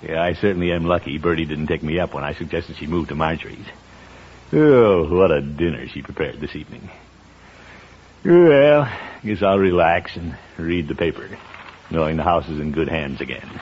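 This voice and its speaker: A man's voice: extremely low pitch, moderate pace, husky. An actor playing a blustery, good-natured, middle-aged man of the house in an old radio comedy. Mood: contented. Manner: blustering, hemming, exclaiming. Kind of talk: 1950s radio comedy acting. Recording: dull, noisy old radio recording.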